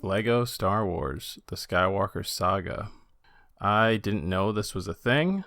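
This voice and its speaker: A man's voice: on the low side, animated, slow, a bit bright, slight background noise. A man co-hosting a podcast in casual, conversational chat. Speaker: podcast co-host.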